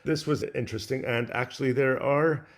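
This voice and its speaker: A man's voice: mid-range voice, fairly even intonation, fairly quick. A man speaking as an interview guest, a composer explaining and recounting in a conversational, anecdotal way.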